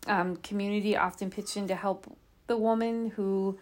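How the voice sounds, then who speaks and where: A woman's voice: medium pitch, fairly even intonation, normal speed, a little mellow. A woman hosting a podcast, telling a true crime case in an informal, conversational way with asides and rhetorical questions. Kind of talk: conversational storytelling from notes.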